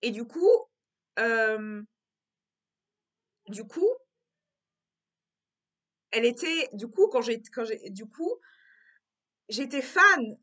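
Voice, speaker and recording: Bright-voiced young French-speaking woman. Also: a little high, lively intonation, drawn-out words, long pauses. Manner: repetitive, circling back on her words. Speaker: vlogger. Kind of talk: casual reflective monologue.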